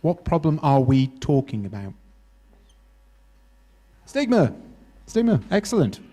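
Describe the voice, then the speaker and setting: British male facilitator, mellow, encouraging, halting delivery, faint mains hum. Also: on the high side, very animated. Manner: encouraging, halting with many restarts. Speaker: workshop facilitator. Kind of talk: interactive workshop teaching. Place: university hall workshop.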